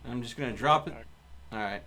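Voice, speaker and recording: A man's voice: mid-range voice, brisk, pushed and bright. A man doing casual live gameplay commentary as a streamer, thinking aloud and muttering to himself. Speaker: game streamer. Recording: clear background noise.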